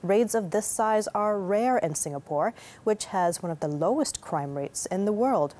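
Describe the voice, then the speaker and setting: A woman's voice: mid-range voice, animated, moderate pace, darkish tone. A woman reading scripted news as an anchor.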